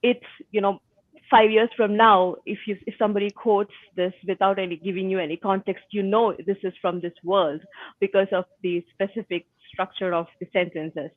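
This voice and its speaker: A woman's voice: medium pitch, fairly even intonation, dry recording. Woman on a tinny phone-like line. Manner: halting, searching for words with fillers. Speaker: book discussion guest asking the author questions. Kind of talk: casual discussion question.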